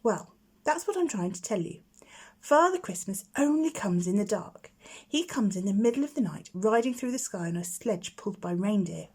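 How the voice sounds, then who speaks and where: A woman's voice: medium pitch, expressive, moderate pace, darkish tone, husky. A woman voicing a confident little schoolgirl in a storybook, chatty and proud, with a matter-of-fact know-it-all manner. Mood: explaining.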